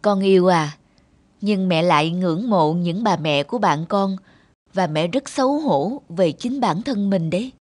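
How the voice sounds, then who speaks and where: A woman playing a gentle, humble mother and novelist in a moral cartoon, earnest, measured and patient. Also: on the low side, expressive. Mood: gently admonishing. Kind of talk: animated moral-tale dubbing. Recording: music behind the voice.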